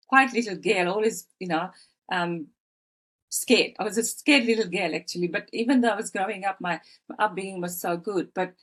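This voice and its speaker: A woman in her fifties from Fiji, telling her life story as a podcast guest with many pauses, fillers and restarts. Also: mid-range voice. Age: 50s. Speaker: guest, author. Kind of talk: personal storytelling in interview.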